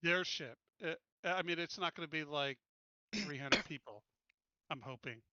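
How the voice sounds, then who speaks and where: A man's voice: a little high, moderate pace, lo-fi sound, heavy background noise. A man talking casually as a tabletop game player, thinking aloud while he plans his moves.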